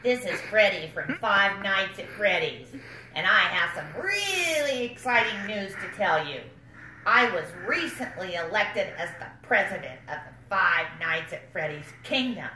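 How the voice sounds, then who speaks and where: Woman as goofy self-important male animatronic bear; lively swooping delivery, chuckles at own gags, faint hiss behind. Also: medium pitch, a little slow. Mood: boastful. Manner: bumbling, self-congratulating, giggles at own jokes. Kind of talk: comic fan character monologue. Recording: roomy echo, faint background noise.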